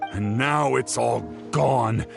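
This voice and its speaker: Distraught game scholar, middle-aged, gravelly creak, wordy n dramatic, faint music. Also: male voice, medium pitch, takes it slowly. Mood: aggrieved. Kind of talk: video game voice acting.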